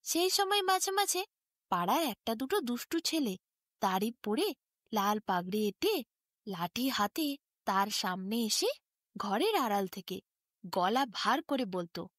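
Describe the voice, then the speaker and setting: A woman tells a bedtime tale in a high voice. Her intonation is very lively and expressive, rising and falling often. The sound is dry, with hardly any room in it. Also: measured pace, pauses often, no echo. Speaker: storyteller. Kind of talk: expressive Bengali bedtime story narration.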